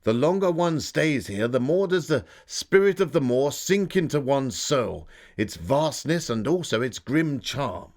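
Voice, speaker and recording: A man voicing an earnest Victorian gentleman, reading his diary as a solemn, dramatic literary storyteller. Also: mid-range voice, very animated, a little slow, hardly any pauses. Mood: awed, reflective.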